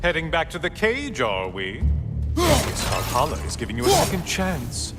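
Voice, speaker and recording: An actor playing a mocking, theatrical sun god in a video game, needling with sarcasm. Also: male voice, fairly high pitch, wildly swooping pitch, normal speed. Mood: gleeful, mocking. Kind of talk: video game villain acting. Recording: loud game music over voice.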